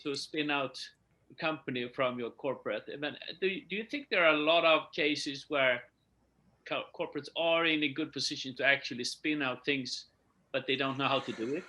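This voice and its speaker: A man's voice: medium pitch, normal speed, sharp tone. A man hosting a webinar panel, friendly and stumbling over words as he asks a question. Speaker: panel host. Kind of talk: moderating a webinar.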